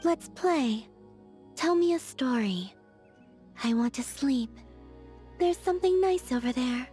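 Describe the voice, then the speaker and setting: An actress voicing a soft-spoken, aloof young woman in a game, with a breathy, whispery manner and slow, languid delivery. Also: high-pitched, expressive, faint music behind. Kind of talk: video game character voice lines.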